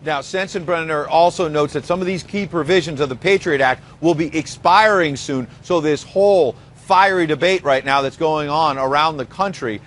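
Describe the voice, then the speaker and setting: An American man reports live as a political correspondent, explaining the story. His voice is fairly high for a man, with faint noise behind it on the location feed. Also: normal speed, few pauses, a bit bright.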